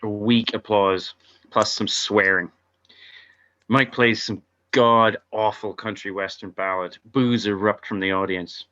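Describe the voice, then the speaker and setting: A man's voice: fairly low pitch, flat delivery, unhurried, pushed and bright. A man reading out stage directions as narrator at a script table read, on a lo-fi recording.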